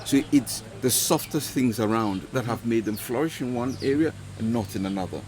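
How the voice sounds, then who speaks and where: A man's voice: medium pitch, normal speed, darkish tone, a little hiss or hum. A man who grew up in Ghana, a podcast guest talking thoughtfully with many restarts. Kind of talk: podcast interview.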